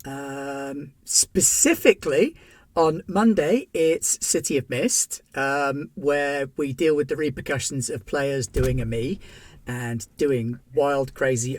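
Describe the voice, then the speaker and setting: A man's voice: mid-range voice, takes it slowly, no echo. A man hosting the wrap-up of a tabletop game stream, joking about next week's games in a playful, bouncy way. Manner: playful, jokey, bouncy delivery. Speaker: game master and channel host. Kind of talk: casual show wrap-up chat.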